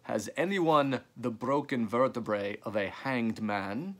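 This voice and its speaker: A man voicing a courteous, solemn gentleman with a deep Hungarian accent, trading bones in a storybook. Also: slow pace. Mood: solemn, polite. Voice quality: deep, masculine.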